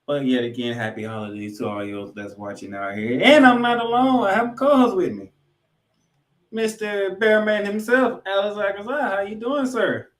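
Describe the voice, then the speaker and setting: A man hosting a sports podcast in a showy, emphatic way, swinging between loud and quiet. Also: high-pitched, moderate pace, roomy sound. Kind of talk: hosting banter and football recap.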